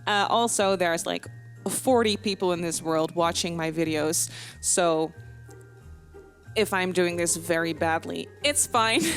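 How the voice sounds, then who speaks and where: A young woman with a light Dutch accent rambles along in a relaxed home voiceover, laughing softly at herself. She talks in a mid-pitched voice, full of ums and restarts. Faint music plays under her. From Netherlands. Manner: chatty, giggly, self-deprecating, with many fillers and restarts. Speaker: Sims speed build vlogger. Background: soft background music.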